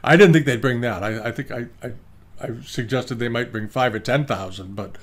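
Older male host, relaxed chatty auction talk, meandering with fillers, desk webcam recording. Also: medium pitch, normal speed. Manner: rambling, many fillers and restarts. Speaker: antiques auction commentator. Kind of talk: casual video commentary. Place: indoor room, screen-share with webcam inset.

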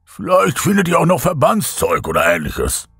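A man voicing a stern military commander in a horror audiobook, giving orders in an urgent, rough, gravelly voice. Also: a little high, huge pitch swings, normal speed, fairly bright tone, no echo, clean studio sound, faint music behind, voice pushed up, full character voice. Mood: brisk, commanding.

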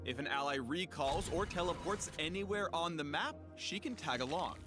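Animated, higher-pitched man narrating a game spotlight. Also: moderate pace. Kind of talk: scripted promotional narration. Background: game music.

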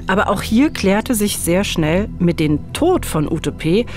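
A woman's voice: mid-range voice, big pitch swings, measured pace, soft background music. A woman in her forties co-presenting a true-crime case in conversational, scripted narration on a studio microphone. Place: indoor radio studio. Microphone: studio boom microphone.

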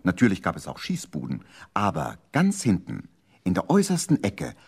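A man's voice: low-pitched, huge pitch swings, moderate pace, vocal fry, a little mellow, breathy edge, full character voice. A man narrating a children's radio play in a playful, dramatic storytelling manner.

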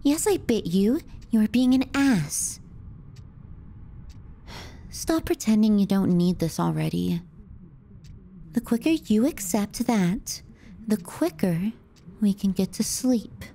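A woman plays a teasing, clingy younger sister in an intimate ASMR roleplay. Her voice is dark and soft, close on the mic, with breathy dips toward a whisper. She takes her time, delivering sardonic jabs with a possessive edge. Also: mid-range voice, very animated, takes it slowly, long pauses, heavily acted. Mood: exasperated.

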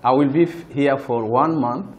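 A Burundian man introducing himself in a language school interview, slow and halting, with slight room noise. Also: mid-range voice, darkish tone, highs cut off. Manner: halting, hesitant. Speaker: student interviewee. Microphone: lapel.

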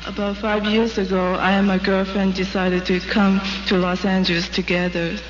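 A woman's voice: medium pitch, level tone, moderate pace. A woman from North China, raised in Hong Kong, telling her story hesitantly in a stage interview. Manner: hesitant, plain storytelling with fillers. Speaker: interviewee giving her experience. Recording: dull, hissy recording in an echoey hall.

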